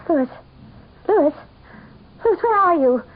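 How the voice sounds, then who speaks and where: An actress playing a haughty, desperate wife with a dramatic, scornful intensity in a radio mystery drama. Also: female voice, very high-pitched, animated, slow pace, noisy background, full character voice. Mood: frantic. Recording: dull old radio broadcast.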